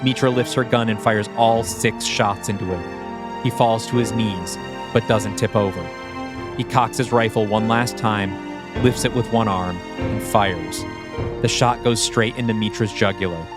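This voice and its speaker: A man with a fairly low voice narrates an audio drama in screenplay style, reading out scene action. Clear music plays behind him throughout. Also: steady tone, unhurried. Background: background music.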